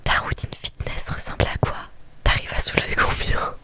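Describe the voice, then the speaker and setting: A woman whispering in a breathy ASMR style as she plays a story game, with playful, teasing asides to her viewers. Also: moderate pace. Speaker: streamer reading and reacting to a dating story game. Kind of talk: whispered ASMR game playthrough with live commentary.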